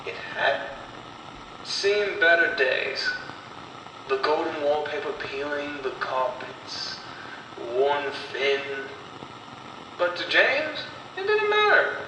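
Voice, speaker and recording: Male horror storyteller, slightly high pitch, dramatic pauses, distant from mic, noisy recording. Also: big pitch swings. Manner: deliberate, dramatic, broken phrasing with pauses. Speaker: storyteller and narrator of horror stories. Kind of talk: scripted horror story narration. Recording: roomy echo, distant mic, clear background noise.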